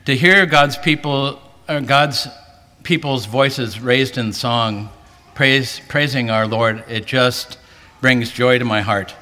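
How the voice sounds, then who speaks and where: Male pastor, earnest sermon delivery, bright and forceful, reverberant convention hall. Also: takes it slowly. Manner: earnest, rising pitch for emphasis. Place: large hall, preaching to a convention.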